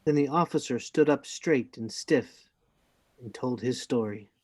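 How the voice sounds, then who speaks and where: A man's voice: medium pitch, measured pace, a little mellow, slightly rough. A man playing a grown adventurer who narrates his own story in scripted first person for a radio play. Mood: storytelling. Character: grown Jim Hawkins recounting his adventure.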